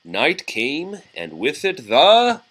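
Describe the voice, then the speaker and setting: A man reading a children's storybook aloud expressively, pausing to chat and answer questions from young listeners. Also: a little high, wildly swooping pitch, fairly bright tone.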